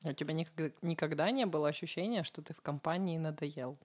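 A woman's voice: deep voice, quick pace. A woman co-hosting a casual podcast, interviewing her partner with brief, probing questions.

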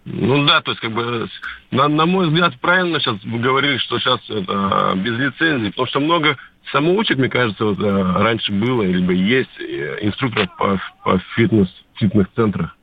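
A man's voice: mid-range voice, normal speed, vocal fry. Hesitant man calling in on a tinny phone. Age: 30s. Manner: hesitant, many fillers and restarts. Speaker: caller.

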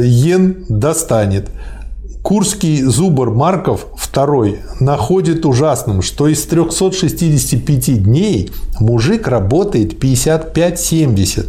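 A man reading a text aloud in a measured way and breaking off for dry comments in a discussion. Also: mid-range voice, sing-song, warm tone.

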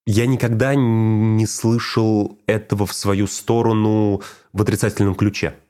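A man's voice: on the low side, level tone, moderate pace, dark tone. A man talking casually and reflectively, often repeating phrases for emphasis. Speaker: teacher of speech exercises.

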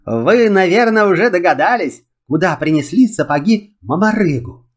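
A man telling a children's fairy tale in an expressive, theatrical and deliberate way. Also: high-pitched. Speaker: storyteller.

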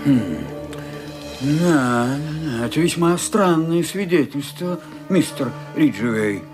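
An actor playing a formal, considered doctor in a radio play. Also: male voice, fairly high pitch, animated, slow, music in the background. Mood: grave, considered. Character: measured, formal male doctor examining strange evidence.

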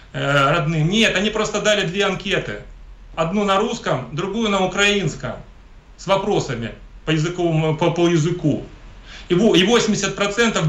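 Guy from ukraine arguing hard, high voice, sharp edge, echoey room. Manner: emphatic, polemical, repeats himself. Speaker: interview guest. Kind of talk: political commentary.

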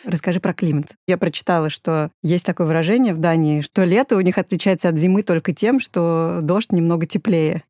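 A woman's voice: on the low side, a little fast, hardly any pauses, dark tone, no echo, clean studio sound. A woman hosting a podcast, introducing guests and guiding the talk with friendly questions. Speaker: podcast host.

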